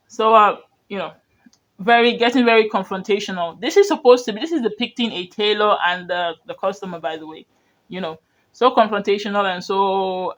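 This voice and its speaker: High-voiced man. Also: fairly bright tone. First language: Yoruba. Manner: rambling, earnest, full of "you know" and restarts. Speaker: media commentator. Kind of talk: reaction commentary on video clips.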